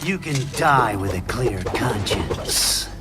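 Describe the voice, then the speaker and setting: Video game antagonist, taunting, theatrical fighter, very slow and swooping, over loud music. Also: male voice, fairly low pitch, expressive. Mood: smug. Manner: slow, mocking, theatrical delivery. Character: smug, taunting male enemy fighter, theatrical and cold. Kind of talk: dramatic video game villain acting.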